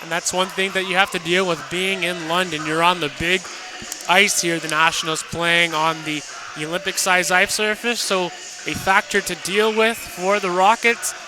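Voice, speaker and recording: A man's voice: sits high, fairly even intonation, moderate pace. A man giving color commentary and analysis on a live hockey radio broadcast. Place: ice hockey arena. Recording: noisy live arena broadcast.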